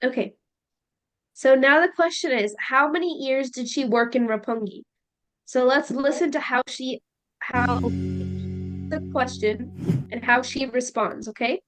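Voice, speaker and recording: A woman teacher leading a listening lesson, explaining slowly and checking in with her students. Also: high-pitched, faint music behind, rough recording. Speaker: English teacher.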